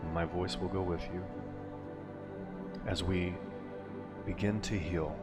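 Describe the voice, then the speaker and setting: A man narrating a guided meditation in a soothing, unhurried way, with deliberate phrasing and pauses. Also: sits low, fairly even intonation. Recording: long reverb on the voice. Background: music.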